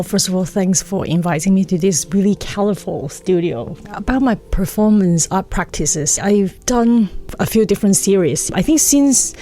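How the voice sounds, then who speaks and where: A middle-aged woman speaks in a fairly low voice with a Hong Kong accent. She is an interview guest, reflecting in a halting way as she looks for the right words. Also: warm tone. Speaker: guest, performance artist.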